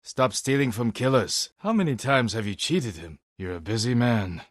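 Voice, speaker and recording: An actor playing a stern, honorable samurai warrior in a video game, with a husky voice and a measured, terse, commanding manner. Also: male voice, mid-range voice, expressive, no echo, studio quality, close to an everyday voice. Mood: stern, resolute. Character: stern honorable adult male samurai warrior, dutiful and weary. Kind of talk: video game character voice lines.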